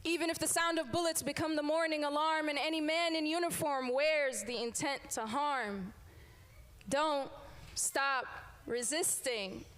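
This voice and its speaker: A woman's voice: sits high, a little slow, a bit bright. A young woman from Sudan, a teacher, performing spoken word poetry live before an audience, with a rhythmic, emphatic refrain. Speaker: poet and teacher. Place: live event room with an audience.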